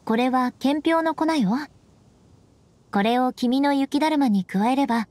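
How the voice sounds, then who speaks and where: A woman's voice: high-pitched, animated, a little slow, darkish tone, dry recording. A woman playing a poised, kindly young noblewoman knight in anime-style game dialogue, composed and assured. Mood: calm kindness.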